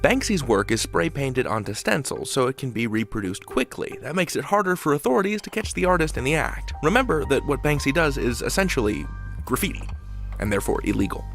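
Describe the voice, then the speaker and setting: Male podcast host, lively swooping intonation, gravelly fry, scripted introduction, faint music behind. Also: mid-range voice, a little fast. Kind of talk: scripted narration.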